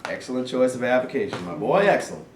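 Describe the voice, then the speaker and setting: A man in a staged play reading, playing a gruff, scheming Southern man of authority with a folksy, commanding manner. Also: mid-range voice, big pitch swings, fast talker, natural voice. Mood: stern, fatherly. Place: live staged reading in a room. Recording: roomy, distant mic, faint noise.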